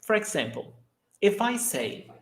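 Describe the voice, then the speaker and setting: Male language teacher, unhurried and methodical, repeats his examples, recorded in an echoey room. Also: sits high, big pitch swings, fairly bright tone. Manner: slow and deliberate, repeating each example sentence. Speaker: Portuguese teacher. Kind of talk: language lesson, mixing English and Portuguese.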